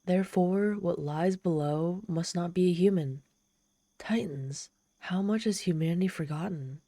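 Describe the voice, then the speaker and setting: A woman's voice: on the low side, a little slow, warm tone, no room sound, clean studio sound. A woman playing a proud, lonely, desperate and socially awkward demon in a dramatic audio roleplay, haughty yet pleading. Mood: haughty, exasperated. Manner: haughty yet pleading, theatrical sighs and gasps. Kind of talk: dramatic ASMR roleplay storytelling.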